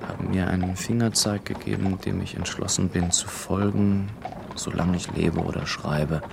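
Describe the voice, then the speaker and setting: An actor playing a restless, wordy gentleman writer on his travels in a literary radio play. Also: low-pitched, steady tone, normal speed, creaky, noisy background. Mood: deliberate, wry.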